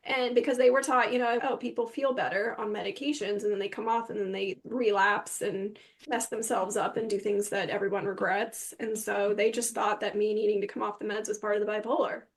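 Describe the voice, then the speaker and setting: A woman's voice: medium pitch, fairly even intonation, a little fast. A woman interview guest telling her personal story in a candid, informal way, peppering it with "like". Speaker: podcast guest sharing personal experience.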